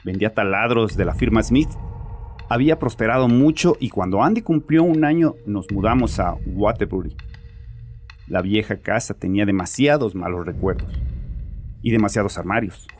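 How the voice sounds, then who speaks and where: A man's voice: on the low side, lively intonation, normal speed, darkish tone, close to an everyday voice. An actor playing a bitter, troubled father confessing defensively and sardonically in a dramatized horror audiobook. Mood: confiding, nostalgic. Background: music.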